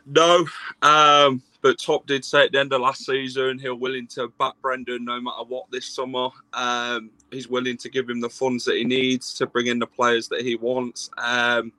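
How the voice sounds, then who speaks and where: A man with a bright, sharp voice speaks in a flat, measured way on a video call. The sound is dry and close. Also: medium pitch, no echo, rough recording. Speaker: guest fan. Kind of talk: casual chat on a video call.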